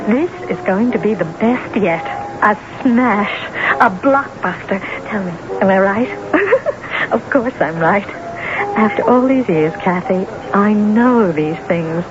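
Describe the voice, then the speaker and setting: Vain, domineering lady novelist, slow and emphatic. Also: mid-range voice, lo-fi sound. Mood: excited, self-satisfied. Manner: emphatic, self-satisfied, repeats herself for effect. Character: vain, domineering woman novelist, insistent and easily wounded. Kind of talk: radio drama acting. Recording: band-limited vintage radio broadcast with music.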